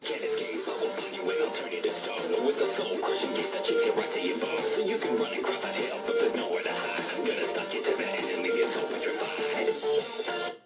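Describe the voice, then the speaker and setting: A man's voice: deep voice, wildly swooping pitch, fairly quick, pauses often, soft background music. A man playing a taunting, theatrical nightmare monster in a villain song. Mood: menacing. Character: menacing stalking monster from nightmares.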